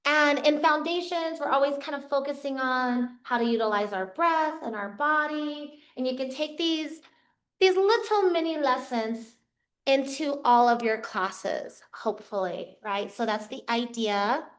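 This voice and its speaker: A woman yoga teacher guiding a class through slow, soothing cues, her voice a little raspy from losing it. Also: sits high. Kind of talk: guided yoga class instruction. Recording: echoey room, voice from far off.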